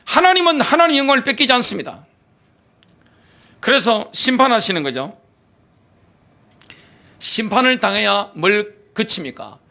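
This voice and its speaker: A middle-aged male pastor delivering an impassioned sermon, firing off rhetorical questions, then dropping to quiet asides. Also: sits high, lively intonation, moderate pace, vocal fry, long pauses. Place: church sanctuary.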